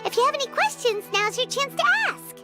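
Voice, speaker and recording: A squeaky little fairy girl. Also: animated, fairly quick. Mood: teasing. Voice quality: high and squeaky. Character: small chirpy floating girl companion, greedy and teasing. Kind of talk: video game dialogue. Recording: faint game music behind.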